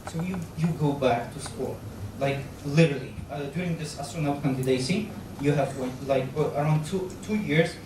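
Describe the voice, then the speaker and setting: A man in his thirties with a Polish accent, giving a public lecture on stage into a handheld mic, halting often with fillers and restarts. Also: on the high side, noisy background. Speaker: guest lecturer on astronaut training. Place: large lecture hall, on stage. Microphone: handheld microphone. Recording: very echoey hall, captured from far off.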